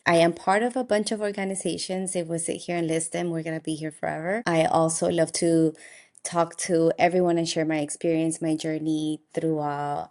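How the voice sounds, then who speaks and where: A woman's voice: low-pitched, gravelly, darkish tone. A young woman from Colombia, an optometrist telling her story in a casual interview and laughing now and then. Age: young adult. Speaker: optometrist guest.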